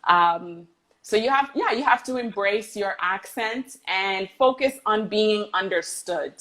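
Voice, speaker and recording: A woman's voice: mid-range voice, a little slow. A woman English teacher chatting casually as a guest on a video call, laughing easily as she tells stories. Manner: relaxed, laughs while telling stories. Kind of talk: casual online chat.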